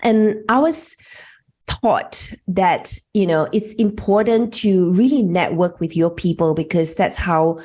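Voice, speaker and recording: A woman's voice: fairly low pitch, takes it slowly, darkish tone. A woman from Malaysia, a networking coach, telling her story in a chatty way with frequent fillers. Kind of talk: conversational storytelling.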